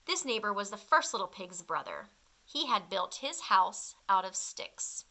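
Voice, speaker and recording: A woman's voice: fairly high pitch, pushed and bright, full character voice. A woman voicing a self-justifying big bad wolf in a storybook, confiding and mock-innocent with exaggerated storytelling delivery.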